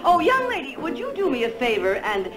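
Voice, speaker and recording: A high, wildly swooping middle-aged aunt, fluttery and polite. It is 1960s TV drama acting. She tends to repeat herself. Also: wildly swooping pitch, brisk, heavy background noise. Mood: flustered, polite. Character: flustered middle-aged aunt playing a confused customer.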